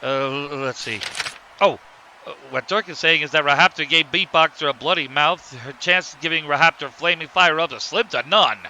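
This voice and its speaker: Excitable male wrestling commentator, acted: high voice, piercing bright edge, blow-by-blow cartoon fight calls. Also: normal speed, a little hiss or hum. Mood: explanatory. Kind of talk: sports commentary.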